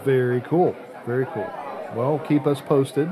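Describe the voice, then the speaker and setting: A man's voice: medium pitch, unhurried, music in the background, a crowd in the background. A man hosting a podcast in a casual, conversational style. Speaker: podcast host.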